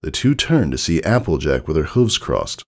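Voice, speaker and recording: Male narrator, very low pitch, gravelly creak, hugely varied intonation, expressive fiction reading. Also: moderate pace. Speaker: fan fiction reader. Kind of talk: expressive scripted story narration.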